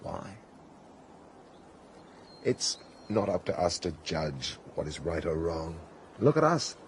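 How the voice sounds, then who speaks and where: A brooding, world-weary prince in a British radio drama. His well-spoken British voice sits low but moves widely in pitch. He is sceptical and clipped, with light outdoor noise behind him. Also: sing-song, takes it slowly, full character voice. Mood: bitter, sceptical. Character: disillusioned, cynical Russian prince, a brooding landowner.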